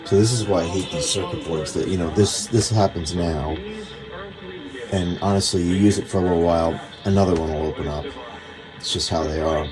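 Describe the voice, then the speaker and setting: A man's voice: low-pitched, a little fast. A man giving casual, hands-on repair commentary in a plainspoken, mildly grumbling way. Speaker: radio repair hobbyist, channel host. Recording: radio music playing behind voice. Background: music from a radio being repaired.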